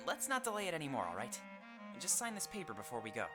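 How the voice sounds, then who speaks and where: Animated, chummy furry companion. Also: male voice, on the high side, quick pace. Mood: businesslike, coaxing. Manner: casual, expressive, chummy. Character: lively, casual male furry companion, teasing friend. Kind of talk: visual novel voice acting. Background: clear game music.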